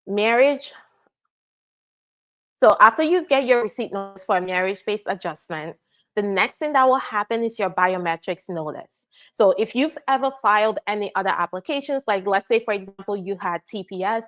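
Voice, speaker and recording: A woman from Nigeria, working as an immigration attorney, answers viewer questions in a relaxed live Q&A and keeps checking in with "right?" and "all right?". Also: medium pitch. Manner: explanatory, frequent "right?" and "all right?" check-ins.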